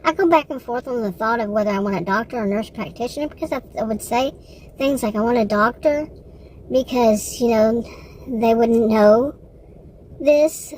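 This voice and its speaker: A woman with a Texan accent, rambling casually in a personal monologue, halting often, circling back and correcting herself. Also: fairly high pitch, darkish tone, a little hiss or hum, poor audio. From Texas, United States. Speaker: vlogger.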